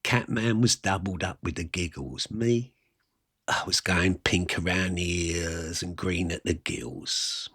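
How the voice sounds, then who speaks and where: A man with a husky voice performing a wry, self-mocking rock and roll fan's conversational monologue about his youth. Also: low-pitched, fairly even intonation, slow, fairly bright tone, no room sound, studio quality, heavily acted. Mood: indignant, wry. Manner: colloquial, self-mocking, reminiscing. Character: Johnny, wry music-mad eighteen-year-old English rock and roll poser. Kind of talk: first-person dramatic monologue, conversational storytelling.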